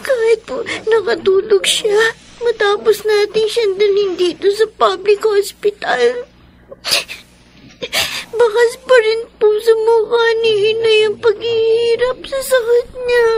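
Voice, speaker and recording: Radio drama role: grieving young Filipino daughter, piercingly high, tearful, slow-spoken. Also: female voice, steady tone, a little mellow, heavily acted. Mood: tearful sorrow. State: crying. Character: sorrowful young daughter of a sick mother.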